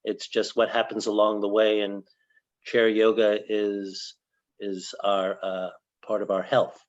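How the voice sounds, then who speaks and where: Low-voiced older man, slightly dull sound. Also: completely flat delivery. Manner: measured, halting with pauses. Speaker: host, yoga organization director. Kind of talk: conversational interview.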